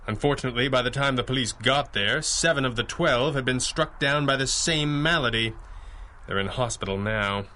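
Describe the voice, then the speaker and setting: Actor as bright-voiced, commanding masked crime fighter. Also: male voice, medium pitch, a little hiss or hum, natural voice. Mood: grim, brooding. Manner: crisp, earnest, formal, deliberate phrasing. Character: masked male crime-fighting hero, brainy, courteous, commanding. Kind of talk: 1930s-style radio superhero drama acting.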